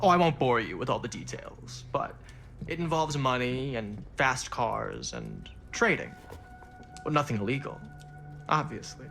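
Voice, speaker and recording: A man's voice: mid-range voice, animated, a little slow, creaky, a bit bright, faint music behind. Effusive, theatrical male superfan. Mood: coy. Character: gushing, wealthy, chatty young male film superfan. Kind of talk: video game cinematic acting.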